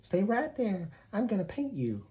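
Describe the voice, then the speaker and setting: A very high, squeaky storybook kitten, small, sweet and caring, put on by a man. The voice is soft-edged and eager, leaping far above normal talk. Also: wildly swooping pitch, unhurried, slight background noise, exaggerated voice.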